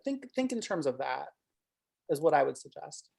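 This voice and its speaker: A woman's voice: sits low, very animated, moderate pace, gravelly, a little mellow, breathy edge. A woman acting as an interview coach, asking probing questions and thinking aloud as she guides a mock interview.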